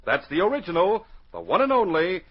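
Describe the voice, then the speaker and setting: An actor as a hearty, folksy announcer and narrator in 1940s radio style, addressing young listeners. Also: male voice, high voice, very animated, brisk, breathy edge, heavily acted. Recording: muffled, lo-fi 1940s radio recording.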